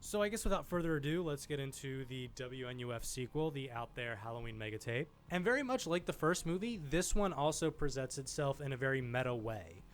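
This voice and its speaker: A man's voice: medium pitch, sing-song, a little fast, hardly any pauses. A man hosting a video essay, giving informal, enthusiastic film commentary in a conversational style. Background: background music.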